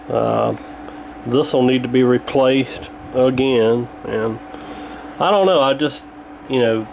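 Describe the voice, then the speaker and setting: A man's voice: medium pitch, a little mellow, a little hiss or hum, low-quality recording. A man giving a casual, hands-on repair walkthrough in a folksy, colloquial way, thinking aloud as he goes. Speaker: radio repair hobbyist presenting.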